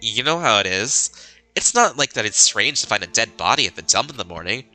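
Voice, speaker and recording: A man reading the lines of a brash, cheerful young woman who grew up somewhere rough, in a blunt, matter-of-fact way. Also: on the low side, very animated, brisk, pushed and bright, soft background music, exaggerated voice, low-quality recording. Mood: blunt, carefree.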